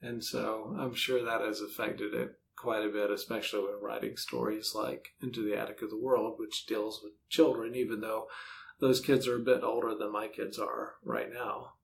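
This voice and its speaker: A man's voice: little pitch movement, moderate pace, vocal fry, rough recording. A middle-aged man giving casual, rambling, self-deprecating answers as a podcast guest. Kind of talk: casual conversational interview answers. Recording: faint low rumbling background noise.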